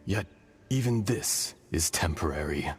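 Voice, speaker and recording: A grave, ancient dragon spirit. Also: male voice, deep voice, lively intonation. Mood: solemn. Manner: grave, formal, measured speech. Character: ancient male earth dragon spirit, solemn, weary, in a borrowed body. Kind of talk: game cutscene voice acting. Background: game music.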